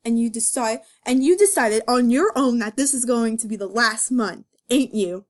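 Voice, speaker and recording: A woman's voice: on the high side, moderate pace, natural voice. A woman voicing a hurt, accusing grown male fox, melodramatic and reproachful, in an amateur comic reading. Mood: stern.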